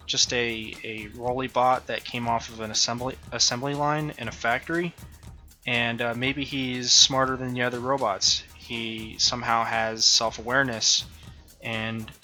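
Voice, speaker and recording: A man walks through his own game in a relaxed devlog, pausing often with ums and uhs as he thinks aloud. His voice is very bright and forward, sitting at an ordinary pitch for a man. Soft music plays faintly behind him. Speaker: indie game developer. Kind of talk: casual devlog walkthrough. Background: faint background music.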